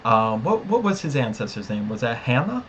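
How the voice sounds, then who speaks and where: A man co-hosting a casual discussion of a TV episode, speaking haltingly with frequent restarts. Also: mid-range voice, very animated, fairly bright tone, a little hiss or hum. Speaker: podcast co-host.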